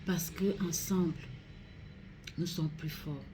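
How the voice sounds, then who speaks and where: Low, slow-spoken Cameroonian woman making an appeal. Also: fairly even intonation, background noise. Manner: grave, pleading, deliberate phrasing. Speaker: regional councillor making a public appeal. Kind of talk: solemn public address.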